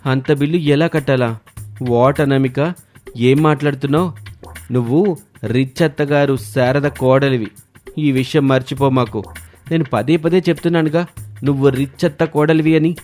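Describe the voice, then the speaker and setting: A man plays a young husband in a moral story. His medium-pitched voice coaxes and gently chides, with some pride in family money. Soft music sits behind it. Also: steady tone, normal speed, pauses often, close to an everyday voice. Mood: chiding, insistent. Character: young husband, reassuring yet proud of wealth. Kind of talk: dramatized moral story dialogue.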